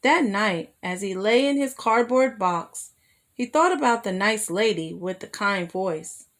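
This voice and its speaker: A woman reading a children's story aloud as a storyteller, in an expressive manner that draws young listeners in. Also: medium pitch, unhurried.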